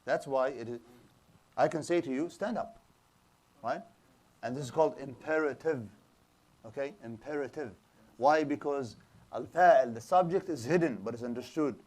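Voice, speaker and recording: A man's voice: medium pitch, moderate pace, long pauses, darkish tone. Man teaching a lecture class. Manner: explanatory, asks the class rhetorical questions, frequent restarts. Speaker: Islamic studies teacher. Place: small lecture room with a projector screen.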